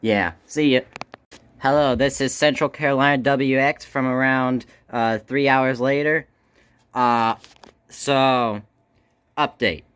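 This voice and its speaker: A man with a bright, forward voice and medium pitch talks off the cuff as an amateur video host. His manner is informal, muttering with some exasperation as he works. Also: steady tone, takes it slowly, smooth. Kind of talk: casual unscripted chat.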